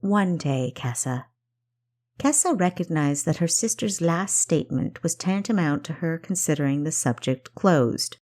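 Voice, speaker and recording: Low-pitched woman narrating a novel. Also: expressive, moderate pace, few pauses, a little mellow. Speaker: author narrating own audiobook. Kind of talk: scripted fiction narration.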